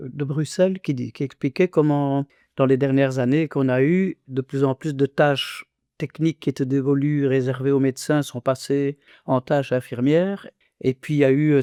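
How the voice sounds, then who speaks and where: An older man in his sixties talks in a halting, earnest way, with frequent restarts and hesitations. His voice is mellow and warm at a middle pitch, recorded close and dry with no room sound. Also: no room sound. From Congo. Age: 60s. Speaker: interviewee. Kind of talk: reflective conversational testimony.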